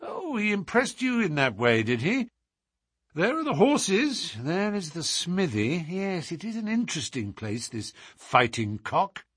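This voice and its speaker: Guy doing a cool sharp gentleman detective, measured, bit husky, lots of pitch movement. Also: very animated, no room sound, studio quality, full character voice. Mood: musing. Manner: cool and measured, dropping to a hushed whisper.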